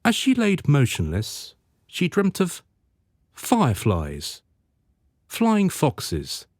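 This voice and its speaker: A man's voice: a little high, huge pitch swings, slow pace, no room sound, studio quality, heavily acted. A man playing a dreamy, solemn storyteller, narrating a scripted radio drama. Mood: storytelling.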